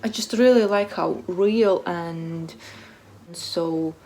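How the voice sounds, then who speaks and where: A woman book vlogger chatting casually to camera in short, halting phrases that trail off softly. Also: lowish voice. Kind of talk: casual chat to camera, answering a book tag.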